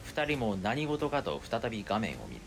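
A man's voice: lowish voice, wildly swooping pitch, moderate pace, vocal fry, soft background music, exaggerated voice. A man playing an exasperated, long-suffering young man who narrates his own story in an audio drama. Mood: stunned narration. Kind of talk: first-person scripted narration in audio drama.

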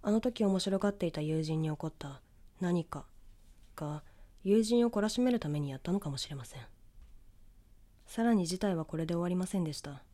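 Woman telling a scary story like an audio ghost tale, soft mellow tone, measured scripted reading. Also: lowish voice, animated. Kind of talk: scripted horror story narration.

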